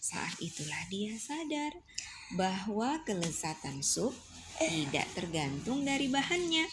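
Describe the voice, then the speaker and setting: A woman's voice: mid-range voice, sing-song, slow pace, background noise. A young woman at home, reading a storybook aloud to her child, often whispering and asking the child questions.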